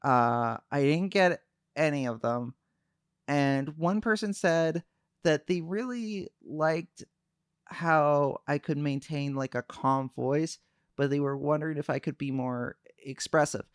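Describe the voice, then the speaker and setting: A young man chatting on a livestream, calm and soft-spoken, hesitating often with fillers. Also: a little high, slow, clear, resonant tone, no room sound, clean studio sound. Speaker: VTuber streamer. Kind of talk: casual livestream chat.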